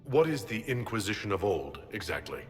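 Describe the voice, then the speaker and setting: An actor playing a wary, skeptical reluctant hero in a video game, questioning and challenging those around him. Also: male voice, lowish voice, very animated, brisk. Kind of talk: dramatic video game acting. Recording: long hall reverb, music underneath.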